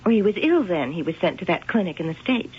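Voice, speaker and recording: A woman's voice: lowish voice, sing-song, fast talker, slight background noise. An actress playing a genteel, secretive young woman who grows nervous, in 1970s radio acting. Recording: lo-fi old radio broadcast.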